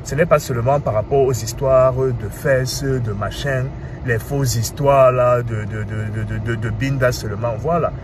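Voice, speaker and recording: A man's voice: mid-range voice, moderate pace, hardly any pauses. Cameroonian man talking by a road. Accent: Cameroonian French. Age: 30s. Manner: animated, asks rhetorical questions, repeats "hein". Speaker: commentator. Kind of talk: casual monologue. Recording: clear street and traffic noise.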